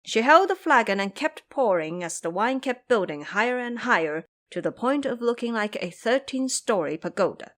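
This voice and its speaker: A woman's voice: a little high, lively intonation, normal speed, hardly any pauses. A woman narrating a scripted fantasy story in a dramatic storytelling style.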